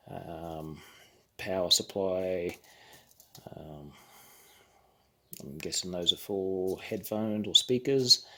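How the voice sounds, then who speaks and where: Halting, low creaky-voiced man. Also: sharp tone. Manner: halting, thinking aloud as he works. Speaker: hobbyist narrating an electronics kit build. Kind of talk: casual unscripted how-to commentary.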